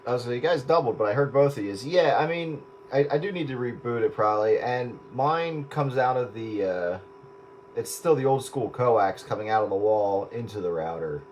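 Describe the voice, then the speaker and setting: A wry, hesitant man in his mid-range voice talks over a radio link, pausing to think as he goes. Clear static hiss runs under him. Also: medium pitch, animated, darkish tone. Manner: hesitant, self-deprecating, wry. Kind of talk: casual radio chat.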